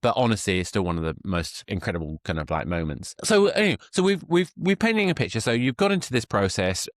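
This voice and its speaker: Male interviewer, deep voice, discursive and reflective conversational delivery, dry close recording. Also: expressive, fast talker, no echo. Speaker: podcast host, interviewer.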